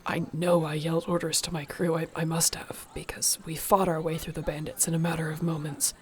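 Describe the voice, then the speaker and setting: Woman acting as female orc warrior, protective girlfriend, soft and soothing, earnest, narrow pitch range, intimate fantasy audio roleplay. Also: flat delivery, brisk, lightly acted. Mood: anxious, loving.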